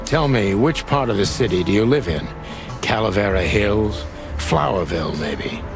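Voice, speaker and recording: A man playing a world-weary rooster private detective in a film-noir video game, speaking in a measured, probing, wry hardboiled way with a gravelly voice. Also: lowish voice, lively intonation, music in the background. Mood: wry, pressing.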